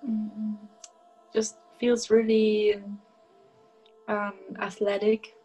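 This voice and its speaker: Young female client, slow monotone, short quiet answers over video call. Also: mid-range voice, breathy edge, dull audio, soft background music.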